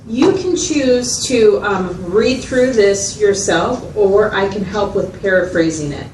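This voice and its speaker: A woman's voice: mid-range voice, expressive, slow, darkish tone. A woman playing a patient, methodical mental health facilitator who explains step by step and checks understanding in a dramatized consultation. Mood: patient, explanatory. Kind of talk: explanatory one-to-one consultation, role-played. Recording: roomy, distant mic, noisy room sound.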